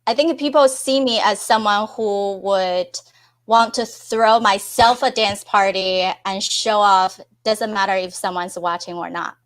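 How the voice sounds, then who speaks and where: A woman's voice: mid-range voice, fairly even intonation, measured pace. A woman whose first language is Chinese, answering interview questions earnestly, with false starts and fillers. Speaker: podcast guest.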